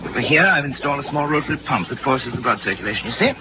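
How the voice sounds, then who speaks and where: A man's voice: medium pitch, expressive, rapid-fire, background noise, close to an everyday voice. An actor playing an obsessed, arrogant man of science in 1940s radio style, with precise, intense, dramatic diction. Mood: proud, eager. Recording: dull 1940s radio recording with music.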